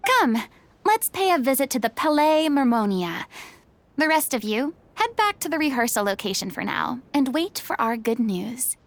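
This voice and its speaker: A woman's voice: sits high, big pitch swings, normal speed. A woman voicing a poised young former star in a video game, stern but caring, with eloquent, commanding, dramatic delivery. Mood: composed, commanding. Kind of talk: English video game voice acting.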